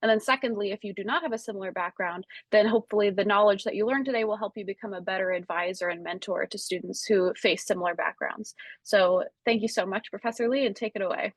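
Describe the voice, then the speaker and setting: A woman moderating a virtual seminar, introducing the next speaker smoothly over a video call. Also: mid-range voice, quick pace, dry recording.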